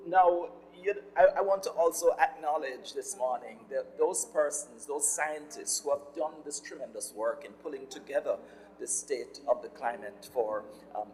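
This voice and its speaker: A man's voice: medium pitch, darkish tone. Jamaican man on a conference panel. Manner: measured, with frequent um fillers. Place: conference hall. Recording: hall reverb, faint room noise.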